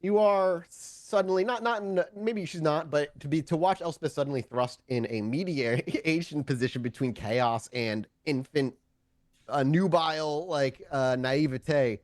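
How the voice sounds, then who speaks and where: A man's voice: a little high, measured pace, darkish tone, dry recording. A man working as game master, improvising narration for a tabletop game in a wordy way, searching for words as he goes.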